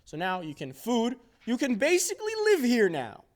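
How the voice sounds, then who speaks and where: A man's voice: very high voice, huge pitch swings, moderate pace. Jokey, rambling young man. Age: early twenties. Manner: jokey, self-deprecating, rambling with playful exaggeration. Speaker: host giving a workshop tour. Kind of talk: casual off-the-cuff walking tour chat. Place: indoor workshop.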